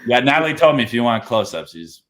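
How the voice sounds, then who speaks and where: A man's voice: mid-range voice, big pitch swings, fast, a bit bright. A young adult man, an actor, chatting in an interview in an easygoing, hesitant way, with many fillers. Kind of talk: casual interview chat.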